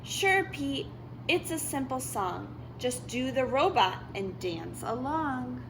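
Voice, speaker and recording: A helpful but easily miffed animal friend from a picture book, put on by a woman. The delivery is high and slow, with a little noise. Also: very animated, creaky, heavily acted.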